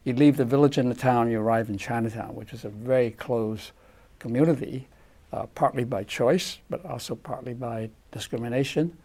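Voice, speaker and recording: An older man speaking reflectively and haltingly in reminiscence. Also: mid-range voice, dark tone.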